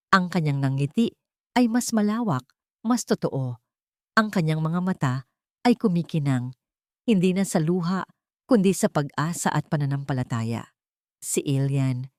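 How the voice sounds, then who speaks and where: Filipino woman narrator, lowish voice, dry close sound, reading a drama story. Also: a little mellow, no room sound. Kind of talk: scripted audio drama narration.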